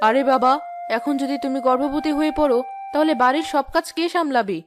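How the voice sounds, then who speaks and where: A woman's voice: high-pitched, fairly quick, natural voice. A woman voicing a domineering, scheming mother-in-law in a cartoon, scolding and bossy. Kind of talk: cartoon voice acting. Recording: music behind the voice. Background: background music.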